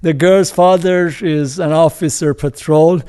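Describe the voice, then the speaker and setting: A man with an Iranian accent, a film director speaking as an interview guest in a halting way, with many restarts, fillers and chuckles. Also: on the high side, fairly even intonation, mellow, smooth. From Iran. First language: Farsi. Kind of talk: casual interview talk.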